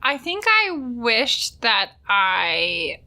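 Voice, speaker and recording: A woman in her thirties talks casually as a podcast guest. She fills her speech with "like" as she goes. Her voice is bright and sits a little high. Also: sing-song, drawn-out words. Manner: chatty, many "like" fillers.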